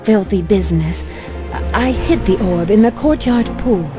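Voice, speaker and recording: An actress playing a poised geisha in a fantasy video game, speaking in a measured, formal way. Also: female voice, medium pitch, lightly acted. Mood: proud, composed. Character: poised, proud geisha healer in a fantasy realm. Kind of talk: video game cutscene acting. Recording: game music behind.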